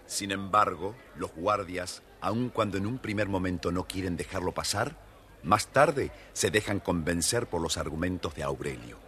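A man narrating a children's fairytale theatrically in a husky voice. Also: normal speed, pushed and bright. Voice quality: husky, deep. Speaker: storyteller, narrator.

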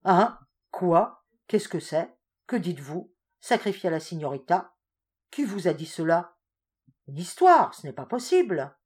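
Woman reading as a bombastic male general, lively loud delivery, husky tone. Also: lowish voice, normal speed. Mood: alarmed. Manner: bombastic, exclamatory. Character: boastful blustering male general, theatrical.

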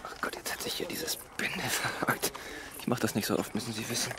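An actor playing a flustered, politely awkward photographer in a naturalistic radio drama, speaking hesitantly and stumbling over words. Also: male voice, mid-range voice, little pitch movement, fairly quick, in a whisper, natural voice. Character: flustered, awkward male photographer with a hidden agenda.

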